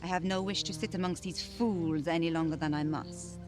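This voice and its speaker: A woman's voice: lowish voice, fairly even intonation, normal speed, music in the background, lightly acted. A woman playing an impatient, haughty Viking noble in a video game, curt and disdainful. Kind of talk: cinematic video game acting.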